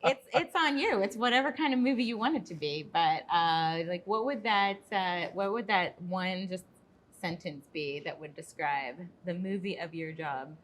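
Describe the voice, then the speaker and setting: A woman's voice: fairly low pitch, moderate pace. A woman hosting a podcast, asking rambling questions in an enthusiastic, encouraging way. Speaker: podcast host. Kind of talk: casual podcast interviewing.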